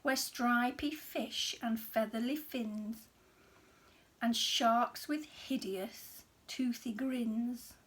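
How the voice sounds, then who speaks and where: A woman reading a children's story expressively, in a measured, dramatic manner with hushed phrases. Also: a little high. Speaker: storybook reader.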